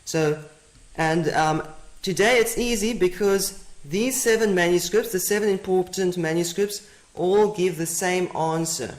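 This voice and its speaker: Presenter, Afrikaans native, higher male voice, methodical and repetitive, echoey room. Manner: methodical, repeats key points. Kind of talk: teaching presentation. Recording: roomy echo.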